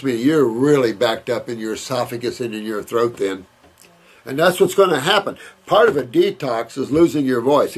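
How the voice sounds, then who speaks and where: Gravelly, husky older man rambling. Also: mid-range voice. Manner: rambling, emphatic, repeats words and restarts. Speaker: naturopath answering viewer questions. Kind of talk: casual Q&A talk, reading and answering letters.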